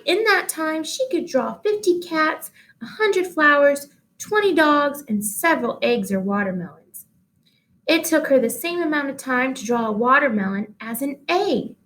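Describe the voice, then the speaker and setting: High-voiced woman reading a children's story. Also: fairly bright tone, poor audio. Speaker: read-aloud storyteller. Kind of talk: expressive children's storybook narration.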